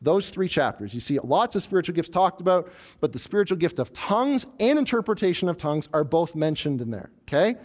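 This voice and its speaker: Male preacher, high voice that swoops all over, working through scripture. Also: fairly quick, hardly any pauses. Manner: animated preaching, voicing the proud tower builders. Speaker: pastor. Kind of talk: sermon.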